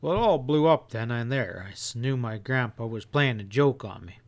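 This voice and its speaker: A man reading an audiobook as an expressive first-person narrator with a folksy storytelling manner. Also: medium pitch, moderate pace. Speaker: audiobook reader.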